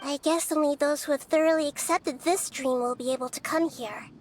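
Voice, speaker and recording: A woman voicing a small, wise and gentle childlike goddess in a video game, thoughtful and reassuring, with faint game ambience. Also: high-pitched, steady tone, fairly quick, a little hiss or hum, natural voice. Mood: calm, thoughtful.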